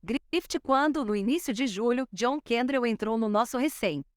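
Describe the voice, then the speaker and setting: A woman with a Brazilian Portuguese accent reading an audiobook in a steady, measured way. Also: fairly high pitch, dry recording, clean studio sound. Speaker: audiobook narrator.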